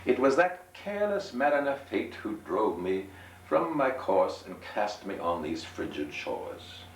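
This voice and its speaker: Guy doing a grandiose little drunk gentleman from a storybook, florid and proper, swooping pitch, echoey far mic. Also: sing-song, measured pace. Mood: florid courtesy. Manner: florid, proper, pompous oratory. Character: small, shabby, grandiose drunken gentleman, proud and melancholy. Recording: roomy, distant, noisy amateur home recording.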